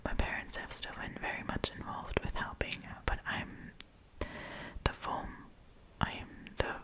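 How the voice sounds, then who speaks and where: A woman whispering in a breathy, chatty, informal way as she hosts an ASMR storytime, reading aloud and commenting. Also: unhurried. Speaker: host of storytime series.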